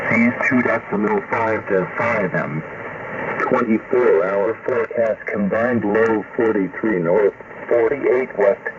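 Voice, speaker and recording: A synthesized male voice reading a marine weather forecast in a measured, methodical way, received over shortwave radio. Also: lowish voice, recorded from a distance. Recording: noisy tinny shortwave radio reception.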